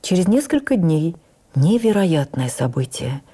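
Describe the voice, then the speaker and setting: An actress playing a stage actress who recalls her youth with admiring wonder, in measured, literary, expressive radio narration. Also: fairly low pitch, breathy edge, full character voice. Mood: reflective, admiring. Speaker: actress reading a memoir on radio. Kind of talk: dramatic first-person memoir narration for radio.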